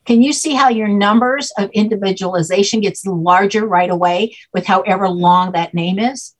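Husky woman explaining patiently. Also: mid-range voice, moderate pace, poor audio. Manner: patient, checks understanding with repeated "okay?". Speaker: guest teaching a name system. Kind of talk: explanatory conversation.

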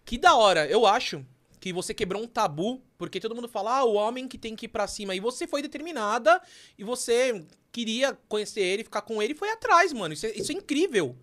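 A podcast co-host asking questions in fast, excitable, slangy casual chat. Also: mid-range voice.

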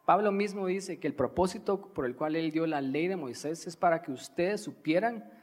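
A man's voice: fairly high pitch, moderate pace, a little mellow. A man with a Guatemalan Spanish accent delivers a sermon as a preacher, emphatic and repeating his key phrases.

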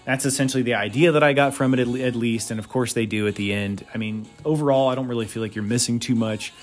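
A man's voice: mid-range voice, fairly even intonation, quick pace, soft background music. A man hosting a solo podcast, giving blunt, opinionated reviews with crude humor. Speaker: podcast host.

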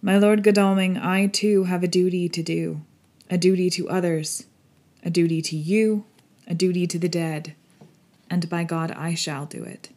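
A woman's voice: on the low side, fairly even intonation, measured pace, darkish tone. A woman acting a grave, elderly professor. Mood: grave, stern. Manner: solemn, earnest, formal. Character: grave, pitying elderly male professor, stern yet kind. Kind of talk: audiobook character acting.

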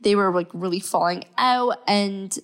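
A woman's voice: mid-range voice, measured pace. A young woman hosting her podcast, chatting casually while sick and stuffy, upbeat and full of fillers. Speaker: podcast host. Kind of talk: casual solo podcast talk.

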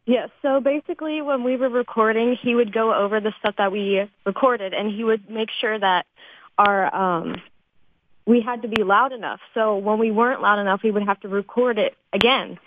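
A woman's voice: mid-range voice, moderate pace, a little hiss or hum. Teenage girl on a tinny phone-like line. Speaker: student cast member being interviewed. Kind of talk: casual explanation in interview.

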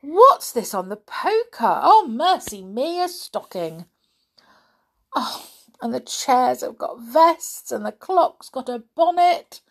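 A high, flustered young woman in a storybook, fretful and scatterbrained, as a woman reader puts her on. She gasps out exclamations and trips over her words, her voice leaping up and down. Her delivery is unhurried. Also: big pitch swings, full character voice. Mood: dismayed. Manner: breathless exclaiming, stammering.

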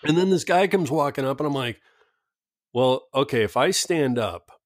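A man's voice: medium pitch, animated, normal speed, dry recording, clean studio sound. A man in casual conversation, joking bluntly and swearing freely. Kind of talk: casual chat.

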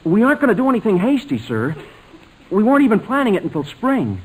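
Earnest, higher-voiced young suitor. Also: male voice, huge pitch swings, fast talker, husky, full character voice, rough recording. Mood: earnest, nervous. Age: young adult. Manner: deferential, hesitant. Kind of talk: 1940s radio comedy acting.

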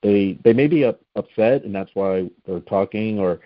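Deep-voiced male host, measured and thoughtful, in a dry, dull-sounding recording. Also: no room sound. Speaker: interview host. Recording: dry, slightly dull lo-fi audio.

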